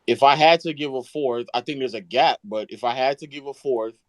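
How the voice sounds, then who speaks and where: A man's voice: medium pitch, fairly quick, no room sound. A man in a casual discussion, talking emphatically, swearing and restarting his sentences often. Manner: emphatic, profane, frequent restarts.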